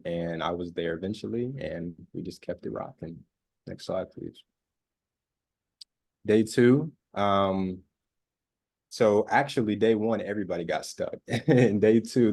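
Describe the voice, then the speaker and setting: A man giving a relaxed slide presentation over a video call, with frequent "um" fillers. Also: low voice, moderate pace. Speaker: student presenter.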